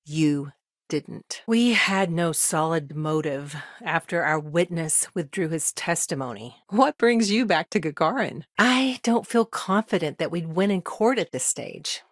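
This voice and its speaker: A seasoned middle-aged female lawyer from a video game. Her voice sits fairly low and is recorded close and dry. She talks in a wry, matter-of-fact way, principled and determined. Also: lively intonation, measured pace, dry recording. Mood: measured, serious. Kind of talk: video game character dialogue.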